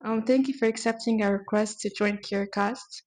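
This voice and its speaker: A woman's voice: mid-range voice, steady tone, normal speed, darkish tone. A young adult woman hosting a podcast, reading prepared questions with hesitant ums. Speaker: podcast host.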